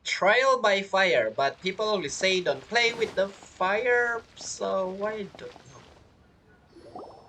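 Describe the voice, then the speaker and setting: A woman's voice: mid-range voice, slow, bright, forceful tone, a little hiss or hum. A woman giving casual, joking commentary as she plays through a video game story. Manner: playful, joking. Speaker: gamer reacting to a story quest.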